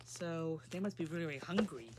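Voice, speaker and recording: A woman's voice: low-pitched, fairly quick, a little mellow, a little hiss or hum. A woman storyteller reading a children's storybook aloud and adding simple asides about the pictures.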